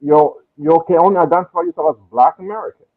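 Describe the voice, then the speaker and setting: A man's voice: on the high side, fast. Man on a tinny phone-like line. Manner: relaxed, thinking aloud with many fillers. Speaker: guest. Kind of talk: casual chat.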